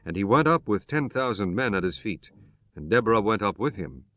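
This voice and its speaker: A man narrating scripture from a script in a measured, solemn manner with archaic biblical wording. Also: lowish voice, lively intonation, fairly bright tone. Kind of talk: scripted scripture narration, King James Bible.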